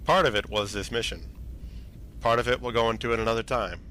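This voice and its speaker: A man's voice: medium pitch, fast talker, lightly acted. A man playing a senior space fleet admiral in a science fiction audio drama, briefing in a formal, authoritative manner. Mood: formal, matter-of-fact. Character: commanding senior space fleet admiral, brisk and matter-of-fact. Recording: steady background ambient noise.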